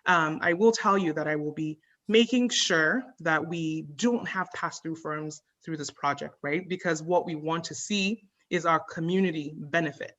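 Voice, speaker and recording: A woman with a notably low-pitched voice speaks at a public meeting. She is earnest and measured, pausing often on filler words as she lays out her expectations as a committee member. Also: a bit bright. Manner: earnest, measured, frequent ums.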